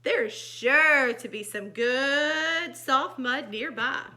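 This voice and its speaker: A woman's voice: high-pitched, slow pace, pushed and bright, voice pushed up, full character voice. A woman voicing a small, fussy, mud-loving young pig from a children's storybook, with emphatic, exclamatory delivery. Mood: indignant.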